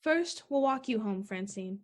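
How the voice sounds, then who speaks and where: A woman playing a bold, dismissive small-town woman of thirty-three in a 1940s-style radio play, brisk and scoffing. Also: on the high side, animated. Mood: teasing insistence.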